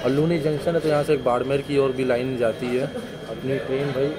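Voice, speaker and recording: A man's voice: steady tone, fairly quick, over music, low-quality recording. A young man filming a casual travel vlog on a moving train, chatting to viewers about trains and delays, holding his phone at arm's length. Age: young adult. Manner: chatty, addresses viewers as friends. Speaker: train vlogger. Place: inside and outside a moving train. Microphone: phone held at arm's length. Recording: loud train and wind noise. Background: rumbling train, air conditioning.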